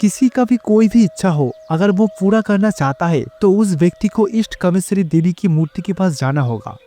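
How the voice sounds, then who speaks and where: A man playing a calm, kindly god who lives as husband and father in a storybook tale. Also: high-pitched, moderate pace, faint music behind. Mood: explaining. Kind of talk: storybook character dialogue.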